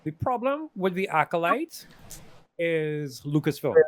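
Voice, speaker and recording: A man's voice: a little high, sing-song, unhurried, dry recording. A man hosting a casual panel chat, giving his opinions in an emphatic, exasperated, ranting way. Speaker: podcast host giving a ranked list.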